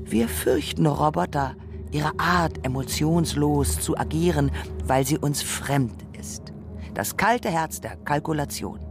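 A performer voicing a reflective radio narrator who muses on robots and humans in deliberate, rhetorical phrases. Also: medium pitch. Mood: argumentative. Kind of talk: scripted radio essay narration. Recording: music behind the voice. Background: music.

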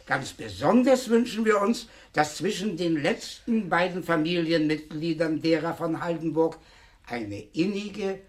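An actor playing a formal official reading out a document in a radio play, ceremonious and officious. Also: male voice, on the high side, animated, unhurried.

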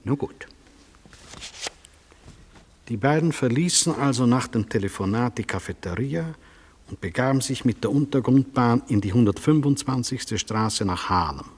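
Stern judge in reverberant hall. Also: male voice, medium pitch, animated, normal speed, husky. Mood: dry, official. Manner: dry, official, curt. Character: stern, sober male judge questioning a defendant. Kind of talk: 1970s German radio play acting. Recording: reverberant courtroom-like radio studio sound.

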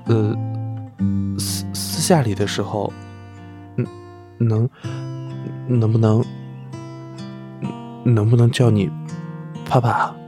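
A man's voice: fairly low pitch, flat delivery, slow pace, long pauses. A man playing a bashful, flustered young male star who adores his idol, stammering and hesitant in an audio drama. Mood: shy, adoring. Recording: clear guitar music behind.

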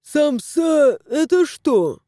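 A woman's voice: sits high, very animated, slow, warm tone, no room sound, studio quality, voice pushed up, heavily acted. A woman voicing a weepy little house spirit. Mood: fretful. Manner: wailing, pleading. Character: tearful little bearded male house spirit.

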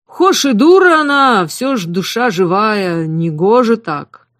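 A woman's voice: mid-range voice, animated, slow, a bit bright, no echo, clean studio sound, voice pushed up. A woman reading an audiobook voices a huge motherly female cook with a drawling, sing-song folksy manner. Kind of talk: audiobook character voice.

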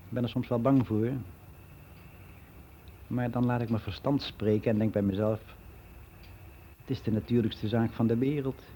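Male actor as candid ageing man, very mellow voice, matter-of-fact musing, radio play monologue, noisy old recording. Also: mid-range voice, steady tone, moderate pace, long pauses, natural voice. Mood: sober, reflective. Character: candid, reflective ageing man, worldly and unbelieving. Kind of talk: radio drama monologue, conversational confiding.

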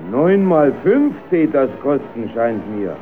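An actor playing an instructive, easily exasperated carousel ticket seller in an old radio play. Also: male voice, mid-range voice, big pitch swings, slow, soft background music. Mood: patient, businesslike. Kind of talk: old radio play, comic dialogue acting. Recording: tinny, narrow-band old radio recording.

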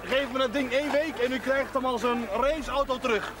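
A man's voice: very high-pitched, brisk, music in the background. An actor playing a brash, teasing village man in a television drama, with colloquial bantering speech. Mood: cocksure.

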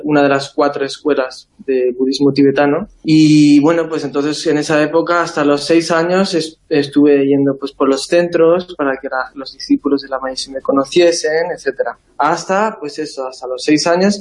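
A man's voice: a little high, steady tone, normal speed, hardly any pauses, darkish tone. A man from India speaking as an interview guest, telling personal recollections.

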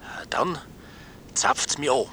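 Bashful Styrian husband, bright-voiced. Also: male voice, slight background noise. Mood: hushed, secretive. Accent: Styrian Austrian. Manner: halting, terse, flustered. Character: bashful, besotted rural husband, henpecked and simple. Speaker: radio phone-in caller. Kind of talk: naturalistic radio drama dialogue.

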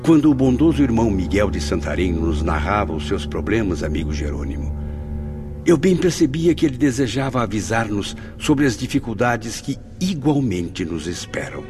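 Expressive, earnest Brazilian disciple, over loud music. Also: male voice, fairly low pitch, normal speed. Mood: sorrowful, compassionate. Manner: formal, emotive. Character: earnest, sorrowful, humble male spirit disciple. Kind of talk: Portuguese-language radio drama acting.

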